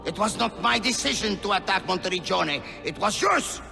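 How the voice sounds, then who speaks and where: An older actor playing an embittered, scornful patriarch in a video game, speaking formally and sarcastically. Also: male voice, high-pitched, lively intonation, normal speed, vocal fry, full character voice. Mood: indignant. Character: scornful, embittered old patriarch rebuking his son. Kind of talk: dramatic game cutscene acting. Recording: long hall reverb under loud music.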